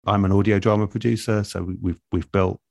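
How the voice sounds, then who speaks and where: A man, an audio producer, giving reflective interview answers in a measured, thoughtful way with pauses mid-sentence. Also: deep voice, steady tone, vocal fry, darkish tone, no room sound, clean studio sound. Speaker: radio and audio drama producer, interview guest.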